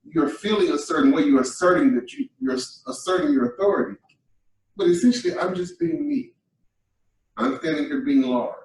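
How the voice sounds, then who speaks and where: Male workshop participant, lively expressive speech, distant and echoey. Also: fairly high pitch, moderate pace. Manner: animated, expressive, speaking from personal experience. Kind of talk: group discussion. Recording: echoey room from far off.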